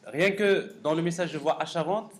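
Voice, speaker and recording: A man giving a live technical software lecture as a professor, halting and repeating words as he thinks aloud. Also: fairly high pitch. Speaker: university professor teaching a class. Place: classroom during a live course session. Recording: clearly noisy classroom recording.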